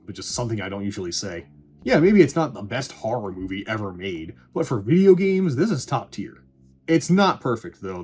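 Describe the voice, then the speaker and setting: Male video reviewer, low-pitched and husky; casual, irreverent, sweary commentary over background music. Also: darkish tone.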